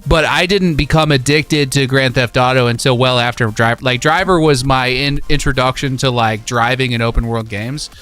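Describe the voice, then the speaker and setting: A man's voice: mid-range voice, normal speed, few pauses, a bit bright. A man in his thirties casually chatting about video games on a live stream, reminiscing enthusiastically in slangy, informal speech.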